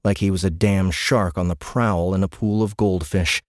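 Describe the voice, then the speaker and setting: A man's voice: sits low, steady tone, moderate pace, darkish tone, no echo, clean studio sound. A man narrating a science fiction audiobook in a scripted, dramatic storytelling style.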